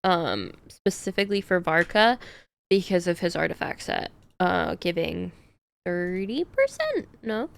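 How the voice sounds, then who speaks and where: A woman's voice: on the low side, very animated, a little slow, creaky, clean tone. A woman streamer chatting casually on a livestream, thinking aloud about game builds and laughing often.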